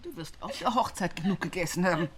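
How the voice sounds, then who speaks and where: A woman's voice: on the low side, animated, fast, noisy background, lightly acted. An actress playing a stern, commanding woman with an imperious, severe manner in a radio drama. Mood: curt. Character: stern, commanding woman, guardian and feared death-bringer.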